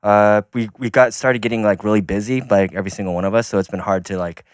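A man with a fairly low voice chats as a podcast guest, cracking jokes and filling his speech with "like." He was born in the United States and grew up partly in Taiwan. The sound is dry and close. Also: fairly even intonation, fast, clean tone, no echo. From United States, raised partly in Taiwan. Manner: joking, many "like" fillers. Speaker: comedy group member, guest. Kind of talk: casual chat.

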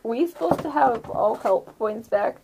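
A woman's voice: fairly high pitch, lively intonation, moderate pace, vocal fry, a crowd in the background. A woman chatting casually as a player in a tabletop game. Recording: strong background noise, amateur podcast.

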